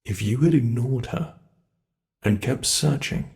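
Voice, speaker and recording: Casting: man to play a sadistic monster under the bed for horror ASMR roleplay; mid-pitched, slow, savoring delivery, gloating and menacing, gravelly. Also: lively intonation, a little mellow. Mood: smug. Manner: slow, taunting, savoring every word.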